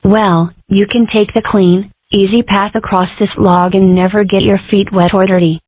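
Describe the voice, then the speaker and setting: A woman's voice: mid-range voice, flat delivery, normal speed, noisy background, close to an everyday voice. Phone-like woman's voice for a sarcastic cartoon schoolgirl. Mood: sardonic. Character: sarcastic, rebellious young schoolgirl with fur. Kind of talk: scripted comic-strip dub. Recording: muffled, phone-like narrow band.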